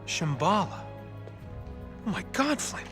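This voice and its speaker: An actor playing a casual, sarcastic treasure-hunting adventurer in a cinematic video game, with music behind him. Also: male voice, sits high, big pitch swings, slow pace, heavily acted. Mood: awed excitement. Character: wisecracking, improvising adventurer and treasure hunter, adult man. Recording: game cutscene with background music.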